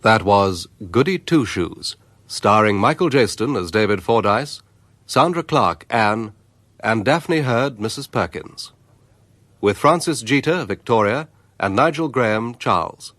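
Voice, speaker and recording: A man's voice: on the low side, expressive, measured pace, fairly bright tone, no echo. A man reading cast credits as a radio announcer in a formal style.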